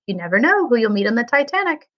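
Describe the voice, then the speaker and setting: A woman's voice: fairly high pitch, big pitch swings, fast talker, lo-fi sound. A woman voicing a sociable, polite society aunt in a storybook reading, gracious and exclamatory. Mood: amused.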